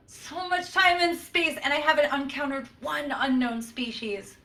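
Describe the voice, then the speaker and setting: A woman's voice: high voice, slow pace, full character voice. A woman playing an eager, quirky, chatty starship science officer in a sci-fi comedy play. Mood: wistful. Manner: excitable, chatty.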